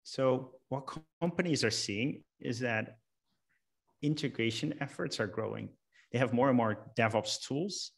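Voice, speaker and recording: Gravelly man explaining as company chief. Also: medium pitch, unhurried. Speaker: company chief answering questions. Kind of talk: explanatory earnings call answer.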